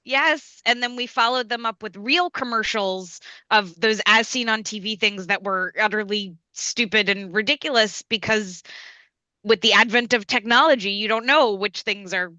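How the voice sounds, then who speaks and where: A woman's voice: medium pitch, moderate pace, pushed and bright, no room sound, rough recording. A woman performer chatting casually and explaining things in an anecdotal way. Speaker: table read performer, former teacher.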